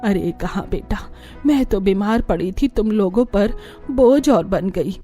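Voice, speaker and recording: A woman plays an emotional mother-in-law in a cartoon family tale. She speaks humbly and affectionately in a soft-edged, mellow tone, putting herself down a little. Light music runs underneath. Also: mid-range voice, animated, unhurried, close to an everyday voice. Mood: humble, regretful. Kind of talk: cartoon story dialogue.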